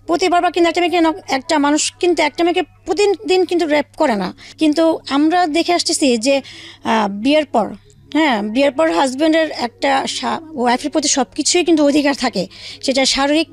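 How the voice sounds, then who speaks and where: High-pitched Bangladeshi woman, slightly creaky voice. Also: brisk. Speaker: guest telling her own life story. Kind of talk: personal storytelling in a radio interview. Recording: faint music bed under the voice. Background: soft background music.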